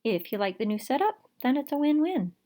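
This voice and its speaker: A woman's voice: sits high, sing-song, a little fast, mellow, pitched above the performer's own voice. A woman voicing an eager, curious young girl scientist in a picture book, earnest and inquisitive. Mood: earnest, persuading.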